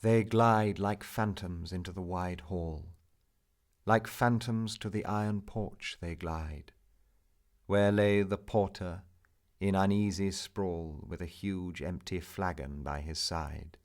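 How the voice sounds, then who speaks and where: Slow, low-voiced theatrical male poetry narrator. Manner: measured, theatrical, with strong swells and hushed endings. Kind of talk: dramatic narrative poetry recital.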